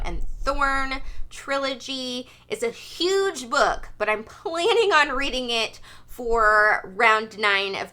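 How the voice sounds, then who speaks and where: Female book vlogger, slightly high and very bright voice, casual, chatty, emphatic delivery.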